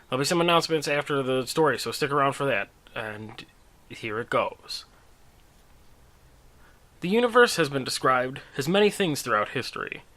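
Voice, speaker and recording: Bright-voiced man narrating a wry story. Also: on the high side. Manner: wry, conversational asides. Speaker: storyteller and host. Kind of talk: humorous narration of own written story.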